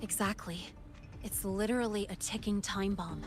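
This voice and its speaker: A woman's voice: medium pitch, steady tone, moderate pace, lightly acted. Actress as self-assured young female hacker, music behind. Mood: brisk, confident. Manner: casual, self-assured, explanatory. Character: confident, playful female hacker and tech expert, young adult. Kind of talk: video game voice acting.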